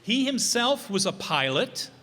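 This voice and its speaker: A man introducing a show informally, reading from notes in a casual, halting, musing way. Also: high-pitched, sing-song, sharp tone. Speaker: presenter introducing a radio players' show. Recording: echoey hall.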